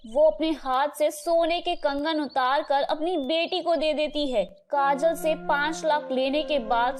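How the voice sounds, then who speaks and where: A woman narrating a moral story in a measured, dramatic way. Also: high-pitched.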